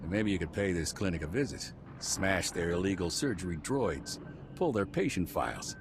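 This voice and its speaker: An earnest male security officer in a video game. His voice is deep and determined, heard over clear vehicle rumble. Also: very animated, moderate pace. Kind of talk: scripted video game dialogue.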